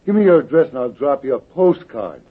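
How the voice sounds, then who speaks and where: Gruff hulking henchman, tinny sound. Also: medium pitch, very animated, a little fast. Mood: menacing. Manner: gruff, threatening, slangy wisecracks. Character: big brutish hot-headed male henchman, tough and childish. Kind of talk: 1940s radio crime drama acting. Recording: tinny band-limited old radio recording.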